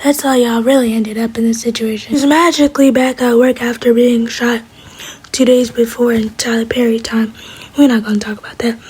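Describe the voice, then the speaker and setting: Whispering woman for an ASMR show recap: dark, mellow tone, wry asides. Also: a little high, steady tone, a little fast, rough recording. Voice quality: whispering, breathy. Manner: wry, gossipy commentary.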